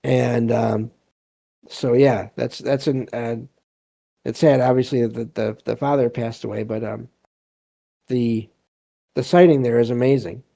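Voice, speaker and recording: A man hosting a podcast, reading stories aloud and commenting casually in a halting, reflective way. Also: medium pitch, fairly even intonation, vocal fry, dark tone. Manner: halting, hesitant, reflective delivery. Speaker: podcast host.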